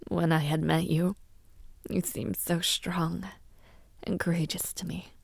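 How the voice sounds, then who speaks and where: A woman playing a haughty young villainess princess in an audio roleplay, mockingly sweet and menacing, teasing and condescending in a breathy near-whisper. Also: on the low side, flat delivery, no echo, full character voice. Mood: cool disdain. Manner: slow, teasing, condescending, with soft taunts. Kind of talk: audio roleplay addressed to the listener.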